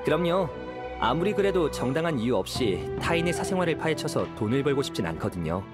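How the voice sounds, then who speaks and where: An actor playing a friendly, eager young detective agency employee in an anime game, chatting politely with music behind him. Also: male voice, a little high, very animated, quick pace. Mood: explanatory, polite.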